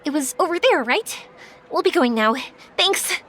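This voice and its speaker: High-voiced, caring young heroine. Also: female voice, lively intonation, a little slow, fairly bright tone, slight background noise. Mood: earnest, friendly. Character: caring, energetic young woman helping search for a lost child. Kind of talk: video game voice acting.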